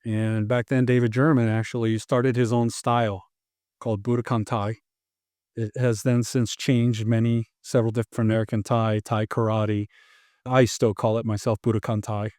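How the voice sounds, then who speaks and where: A man's voice: on the low side, moderate pace, dry recording, studio quality. Rambling middle-aged man telling stories. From born in Tonga, raised in Los Angeles. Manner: rambling, animated, frequent restarts and fillers. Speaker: martial arts instructor, podcast guest. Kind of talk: casual interview storytelling.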